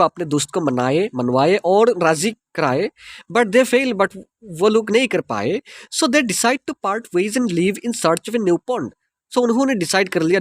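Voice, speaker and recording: A man with an Indian accent teaching English through a story, reading English lines slowly and explaining them fast in Hindi. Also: high-pitched, dry recording.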